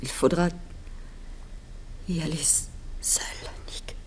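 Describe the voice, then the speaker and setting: A woman's voice: sits low, fairly even intonation, slow, whispered. An actress playing a brave, devoted, emotional young female sidekick in an old radio crime serial. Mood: faint, dying.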